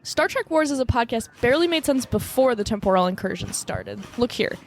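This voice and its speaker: A woman's voice: fairly high pitch, expressive, fairly quick, slight background noise. A woman playing a blunt but naive and eager investigator in a comedic radio drama, speaking with earnest emphasis. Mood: exasperated.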